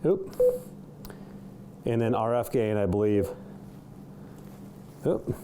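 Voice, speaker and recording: A man's voice: fairly low pitch, fairly even intonation, slow pace, mellow, a little hiss or hum. A man giving a casual, unscripted product demonstration in a studio, slightly hesitant as he works through the features. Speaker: product reviewer demonstrating a CB radio. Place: indoor studio.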